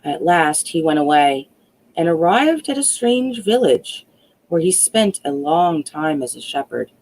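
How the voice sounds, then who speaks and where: A woman narrating a fairy tale as a storyteller, deliberate and dramatic. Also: sits low, big pitch swings, darkish tone, poor audio.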